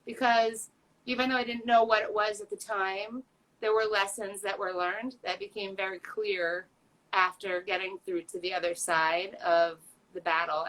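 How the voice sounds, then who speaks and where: A woman's voice: medium pitch, a bit bright, low-quality recording. Chatty, earnest woman in her fifties. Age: 50s. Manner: chatty, earnest, frequent fillers and restarts. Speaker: patient advocate guest. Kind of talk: candid personal interview.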